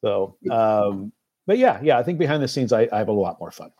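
A man giving a casual interview as a film producer and guest, speaking in a halting, thoughtful way. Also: fairly low pitch, animated, mellow, lo-fi sound. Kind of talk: casual talk-show interview.

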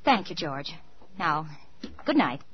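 An actress playing a pretty, anxious young stage star in dramatic, hesitant 1940s radio style. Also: female voice, deep voice, big pitch swings, slow pace, a little hiss or hum, heavily acted. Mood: uneasy, polite. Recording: dull 1940s radio recording, loud music.

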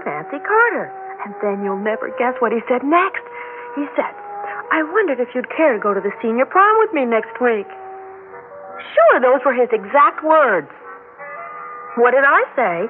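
A woman playing a chatty, affectionate teenage older sister in a 1960s radio drama. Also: on the high side. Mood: gushing, excited. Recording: tinny 1960s radio recording, music behind.

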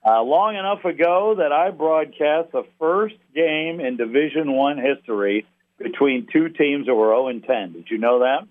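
A middle-aged man, a sports announcer, talks as an interview guest in a halting, wry, conversational way. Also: on the high side. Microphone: telephone. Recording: tinny phone line.

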